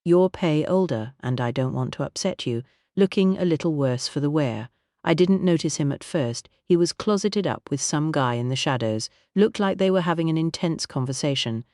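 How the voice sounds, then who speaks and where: A narrator voicing a tall, glamorous American woman entertainer with a soft, smooth American accent, affectionate and motherly. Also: sits low, expressive, moderate pace, dry recording, studio quality. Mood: confiding. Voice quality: smooth, honeyed drawl. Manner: affectionate, calls everyone honey.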